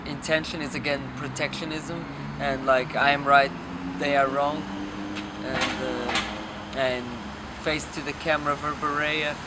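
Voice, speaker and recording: Guy rambling philosophy off the cuff, kinda high voice, swears a bit, really loud traffic behind. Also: little pitch movement. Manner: halting, searching, profane. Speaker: documentary film subject. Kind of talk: unscripted rambling philosophical musing. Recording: noisy outdoor street with traffic.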